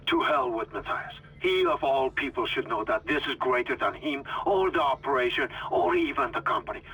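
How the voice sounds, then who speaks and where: An actor playing a zealous doctor, speaking with fervent, insistent conviction in a dramatic video game scene. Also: male voice, mid-range voice, animated, normal speed, vocal fry, muffled recording. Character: zealous male doctor, fervent believer urging deliverance.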